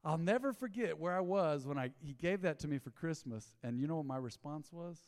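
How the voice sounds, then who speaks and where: A man preaches in a church sanctuary. His voice sits a touch high and rings with the long reverb of a big hall. He tells stories in a folksy way, slipping into low asides and then rising to loud, emphatic bursts. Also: lively intonation, a little fast. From Texas. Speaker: pastor. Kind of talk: sermon.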